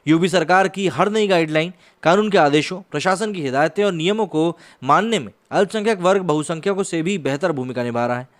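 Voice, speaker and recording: A man delivering news analysis commentary, partly scripted, in an emphatic, rhetorical manner. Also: fairly high pitch. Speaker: commentator presenting a video.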